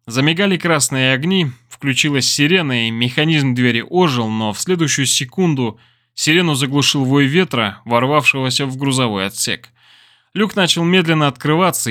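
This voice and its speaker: Male audiobook narrator, medium pitch, dramatic scripted delivery, deliberate, expressive storytelling.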